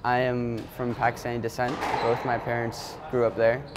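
A man's voice: medium pitch, completely flat delivery, moderate pace, heavy background noise. A young man from Connecticut, a college squash player, telling stories relaxedly in an interview. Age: young adult.

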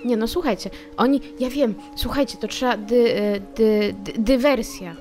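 A woman's voice: on the high side, moderate pace, a little mellow, faint music behind. A woman playing a tabletop role-playing game, chatting casually in character.

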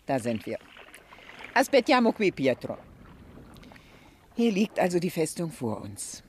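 A worldly, sceptical lady in a radio play. She speaks wryly and knowingly, with lively swings of pitch, over clear background noise. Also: unhurried. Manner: wry, knowing. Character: worldly, teasing lady friend, sceptical and shrewd. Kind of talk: German radio drama acting.

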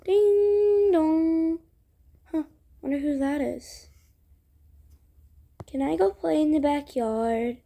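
A woman with a high voice acts out an improvised roleplay story. The delivery is slow and halting, with a soft, mellow tone and pauses between phrases. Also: creaky. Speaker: video creator voicing all characters. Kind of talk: improvised character roleplay storytelling for a toy-world game video.

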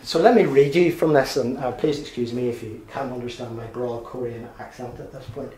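A man's voice: medium pitch, brisk, mellow. Man lecturing in a roomy hall. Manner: hesitant, frequent uh and um, dry asides. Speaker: history lecturer giving a seminar talk. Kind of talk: academic seminar lecture. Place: university seminar room. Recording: roomy seminar room echo.

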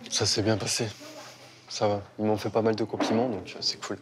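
A man's voice: fairly low pitch, flat delivery, brisk, noisy background. A teenage man from Burgundy answering casual interview questions hesitantly, with many fillers. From Burgundy, France. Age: teens. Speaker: model contestant.

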